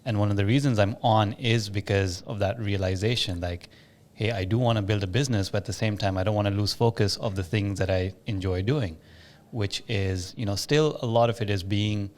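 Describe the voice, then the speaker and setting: Man, slightly low pitch, podcast host, casual conversational interviewing. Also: a little fast, darkish tone. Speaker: podcast host, business owner.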